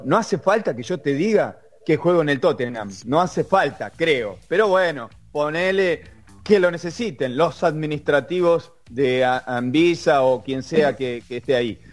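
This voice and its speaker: A man's voice: a little high, expressive, moderate pace, soft background music. A man with an Argentine accent talking sports in casual chat, emphatic and explanatory, often restarting words. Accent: Argentine Spanish.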